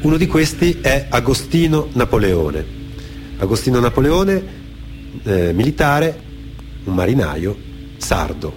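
A man's voice: medium pitch, sing-song, measured pace, long pauses, a little mellow. A man from Genoa performing dramatic radio storytelling, in a reflective manner that sometimes halts and repeats phrases. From Genoa, Italy. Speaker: theatre storyteller, author-performer on a radio theatre programme. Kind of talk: dramatic storytelling, partly off-the-cuff anecdotes and partly read testimony. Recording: music behind the voice. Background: music.

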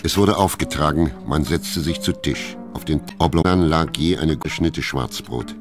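A man narrating a German literary radio play in a measured, sober, detached storytelling manner. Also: a bass voice. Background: music.

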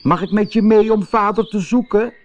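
A man voicing a sad, gentle young princess in a plaintive, pleading manner for a fairy tale. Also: sits high, steady tone, normal speed, voice pushed up.

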